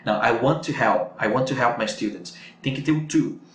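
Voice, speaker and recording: A man's voice: mid-range voice, lively intonation, normal speed, fairly bright tone. A man with a northeastern Brazilian accent, explaining things as an English teacher in a casual online lesson, often repeating points for emphasis. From Natal, northeastern Brazil. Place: indoors on a Skype video call. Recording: roomy echo with faint noise.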